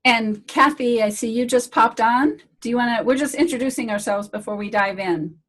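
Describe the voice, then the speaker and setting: A woman's voice: a little high, normal speed, a bit bright. A woman hosting a casual video call Q&A as a medical director, chatty and friendly with rambling restarts.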